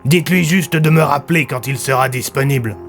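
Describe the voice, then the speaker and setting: A man playing a down-to-earth police commissioner in an audio fiction, gravelly, matter-of-fact and colloquial but courteous. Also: mid-range voice, fairly quick, fairly bright tone, soft background music. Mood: practical, calm.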